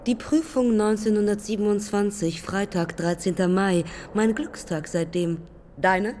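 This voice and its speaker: Actress as a terse young aviatrix, reverberant hall. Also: female voice, medium pitch, steady tone, unhurried, a little mellow, a little hiss or hum, natural voice. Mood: terse, proud. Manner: terse, clipped fragments. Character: young woman aviator, self-possessed, terse, proudly independent. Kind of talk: German public radio drama, lyrical dialogue.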